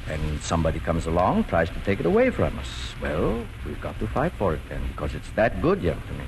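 A farmer father explains things in a deep, gentle, folksy voice that rises and falls widely. He is halting and patient, in a 1940s radio drama on a noisy old recording. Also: huge pitch swings, heavily acted. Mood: patient, earnest.

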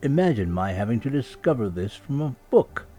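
A man's voice: mid-range voice, sing-song, normal speed, mellow, soft background music, voice pushed up. A man voicing an imperious, easily affronted emperor in a storybook, pompous and insistent. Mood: puzzled, affronted.

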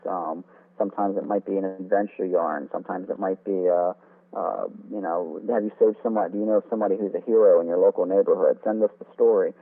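A man speaks over a thin, phone-like line in a fairly low voice. He is an interview guest, an author explaining the history of old radio at length. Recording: phone-like narrow, tinny sound.

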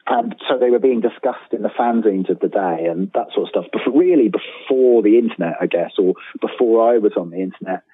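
Husky man on a thin phone-like line. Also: fairly low pitch, animated, fairly quick, hardly any pauses, no room sound. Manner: rambling, self-deprecating, enthusiastic. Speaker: interview guest, writer. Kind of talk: casual conversational interview.

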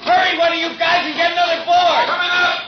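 Commanding fireman yelling rescue orders, old radio show, echoey and really noisy. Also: very high-pitched, little pitch movement, quick pace, muffled recording, over a noisy crowd. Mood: urgent. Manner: shouting, urgent, authoritative. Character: brisk, commanding fireman shouting rescue instructions. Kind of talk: 1940s radio drama acting.

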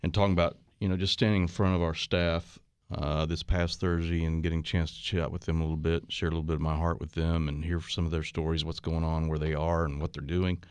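A man's voice: extremely low pitch, flat delivery, fast, hardly any pauses, clear, resonant tone, no echo. A man hosting a casual talk radio show in a conversational, jovial and teasing way. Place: radio studio.

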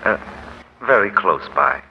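A dignified, philosophical gentleman in an old radio drama. His low voice is formal and courteous, with expressive swings, on a dull, noisy vintage broadcast. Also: unhurried. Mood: calm, wise. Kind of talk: 1940s radio crime drama acting.

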